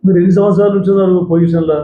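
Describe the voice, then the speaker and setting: A man with a Telangana Telugu accent fielding calls as a legislator, brisk and repeating himself as he answers. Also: high voice, flat delivery. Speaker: elected legislator taking phone-in calls. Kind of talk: question-and-answer with callers. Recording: phone-like sound, roomy echo, noise.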